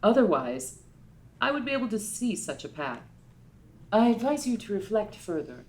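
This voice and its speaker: A woman voicing a stern female fortune-telling teacher who lectures in a grave, measured way at a table read. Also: medium pitch, sing-song, close to an everyday voice. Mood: solemn.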